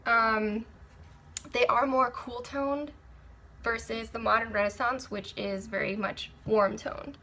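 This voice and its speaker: A young woman with a raspy, sore throat, chatting candidly through a makeup review with frequent ums and restarts. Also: fairly high pitch, fairly even intonation, takes it slowly, sharp tone, a little hiss or hum. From Maryland, USA. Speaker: beauty vlogger. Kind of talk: casual product review.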